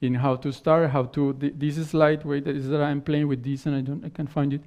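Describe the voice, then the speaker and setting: Man speaking non-native English, halting. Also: on the high side, flat delivery, a little mellow. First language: not English. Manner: explains in halting phrases, often restarting words. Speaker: conference speaker. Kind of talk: technical talk and Q&A answers. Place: conference hall stage. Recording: dull lo-fi conference recording.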